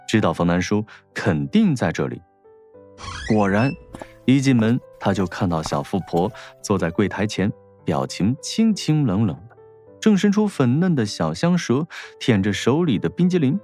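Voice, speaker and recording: A man's voice: mid-range voice, darkish tone. A man narrating a scripted audiobook story in a wry storytelling manner.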